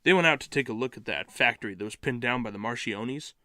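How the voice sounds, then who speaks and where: A man playing a busy, worried policeman in an audio drama, talking briskly and colloquially as he gives orders. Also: mid-range voice, sharp tone, no echo, natural voice.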